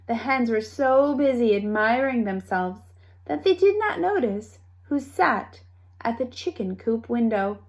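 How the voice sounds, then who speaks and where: A woman reading a children's story aloud with expressive narration and dramatic, deliberate phrasing. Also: on the high side. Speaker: storybook reader.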